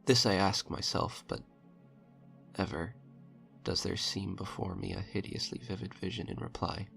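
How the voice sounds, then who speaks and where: Deep, gravelly man reading a horror tale. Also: steady tone, moderate pace, fairly bright tone. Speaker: narrator reading a horror short story. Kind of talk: dramatic audiobook-style narration. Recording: music under the voice. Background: eerie background music.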